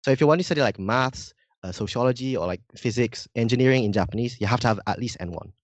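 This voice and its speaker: A man's voice: mid-range voice, fast talker, no echo. A young man from Indonesia with a slightly British-sounding accent, explaining things earnestly as a podcast guest, often adding "like" and "right?". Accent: slightly British-sounding English. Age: young adult. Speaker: podcast guest, university student. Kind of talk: casual interview chat.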